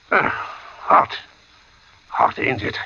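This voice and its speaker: An actor playing a plain-spoken, superstitious tombstone carver who talks haltingly in a vintage radio drama. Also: male voice, medium pitch, creaky, sharp tone, husky, heavily acted. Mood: weary, overheated. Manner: halting, muttering, slow. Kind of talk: 1940s radio drama acting. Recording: dull old radio recording with music.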